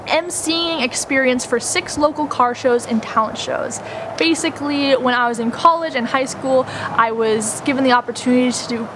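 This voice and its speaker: A young American woman in her twenties talks through practical tips in a relaxed, chatty way, sprinkling in plenty of "like" and "um". Her voice sits a little higher than most. She is recorded outdoors in a backyard garden, where clear outdoor ambience and passing traffic can be heard behind her. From United States. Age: 20s. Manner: chatty, filler-heavy with frequent "like" and "um". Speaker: travel vlogger. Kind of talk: casual instructional chat.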